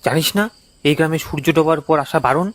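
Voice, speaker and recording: A man's voice: a little high, steady tone, fairly quick, lightly acted. A man playing an anxious, protective young villager who warns his friend urgently and insistently in a cartoon ghost story. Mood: anxious warning.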